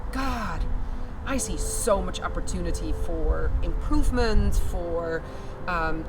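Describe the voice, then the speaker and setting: A woman's voice: fairly low pitch, heavy background noise. A woman from the Netherlands with a Dutch accent, a school director talking casually outdoors with many fillers. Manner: chatty, laughs easily, many fillers. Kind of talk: casual interview.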